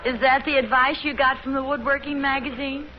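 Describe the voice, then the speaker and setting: An actress playing a gracious suburban wife and mother in a 1950s radio sitcom, polite, soothing and gently teasing. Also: sits high, steady tone, a little fast, natural voice. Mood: mildly amused. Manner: polite, soothing, dryly witty. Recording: muffled, very noisy 1950s radio recording.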